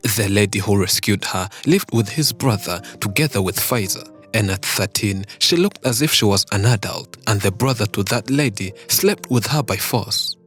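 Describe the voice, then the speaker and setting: Low-voiced male documentary narrator. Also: expressive, moderate pace, few pauses, fairly bright tone. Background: background music.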